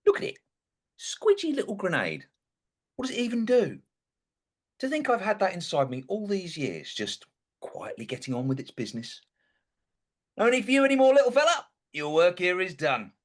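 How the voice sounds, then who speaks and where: Guy playing a wry dead young bloke narrating his own story, theatrical and exclamatory, pitch all over the place. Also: very animated, moderate pace, pauses often. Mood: whimsical wonder. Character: indignant, sardonic dead young man narrating his own post-mortem. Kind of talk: dramatic monologue in a comic stage play.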